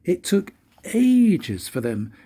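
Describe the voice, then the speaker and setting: An older man reads a children's story like a grandfather at storytime. His voice is soft-edged and raspy, and it rises and falls expressively. He takes his time, pausing for drama. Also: high-pitched, huge pitch swings. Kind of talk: storybook narration.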